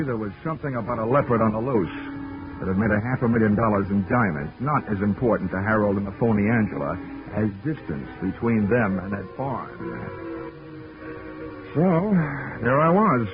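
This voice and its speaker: A man's voice: lowish voice, close to an everyday voice. An actor playing a wisecracking male insurance investigator, narrating in a dry, wry, hard-boiled way in a 1940s radio drama. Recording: tinny 1940s radio broadcast with music.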